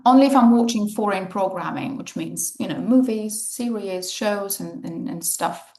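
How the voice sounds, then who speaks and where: A woman runs a casual online English speaking club. She talks in loose, chatty runs full of fillers. Her voice is mid-pitched and sounds like it bounces around a bare room over the call. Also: normal speed, a little mellow, reverberant. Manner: chatty, many fillers like "you know" and "okay". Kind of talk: casual online group lesson. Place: home in Valencia. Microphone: video call.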